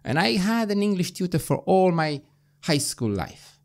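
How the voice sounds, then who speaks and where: A man in his forties from Romania with a Romanian accent, hosting a podcast conversation in earnest, persuasive tones, recorded in a small studio on a boom-arm mic. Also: very animated, moderate pace, vocal fry, darkish tone. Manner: earnest, persuasive, often asks "right?". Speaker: podcast host. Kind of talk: casual conversation. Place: small studio with foam panels.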